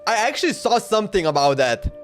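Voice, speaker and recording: Give me a young guy streamer with a high voice, rambling in slang and stammering over words, faint music behind. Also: big pitch swings, fast talker, a bit bright. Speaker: livestreamer playing a game. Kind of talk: casual livestream chat.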